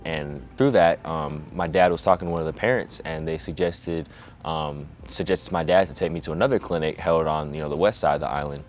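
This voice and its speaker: A teenage boy from Hawaii, a high school quarterback, talking reflectively in a documentary interview with many fillers. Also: fairly quick, a little hiss or hum. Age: teens.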